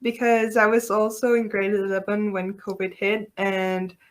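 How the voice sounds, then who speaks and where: A woman's voice: mid-range voice, little pitch movement, measured pace. A young woman from Ecuador talking in a friendly, straightforward way as a panel guest on a video call. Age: young adult. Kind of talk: casual chat.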